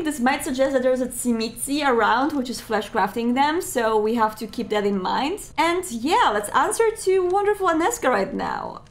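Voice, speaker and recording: A woman giving playful, chatty commentary while playing a video game. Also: high-pitched. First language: Polish. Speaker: gamer. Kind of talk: let's play commentary. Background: game music.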